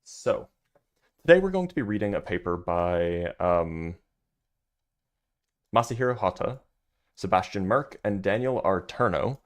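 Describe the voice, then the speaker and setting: A man with a deep voice hosting a livestream, reading a physics paper aloud and chatting loosely with viewers between passages, with plenty of fillers. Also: lively intonation, a little slow, pauses often. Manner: loose and chatty, many fillers, answers chat.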